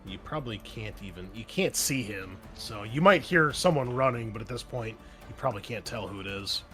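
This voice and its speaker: A man's voice: on the low side, animated, a little fast, a bit bright, soft background music. A man running a tabletop roleplaying game as game master, explaining rules and narrating with frequent fillers and restarts.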